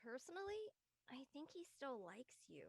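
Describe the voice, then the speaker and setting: A young actress playing an earnest, literal-minded, rule-abiding student in a drama. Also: female voice, sits high, sing-song, normal speed, gravelly, no echo, clean studio sound, heavily acted. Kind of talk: acted dialogue in an audio-led play.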